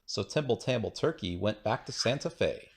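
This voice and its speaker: A man's voice: lowish voice, animated, moderate pace. A man reading children's rhyming poems aloud in a playful, singsong, rhythmic way. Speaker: children's book reader.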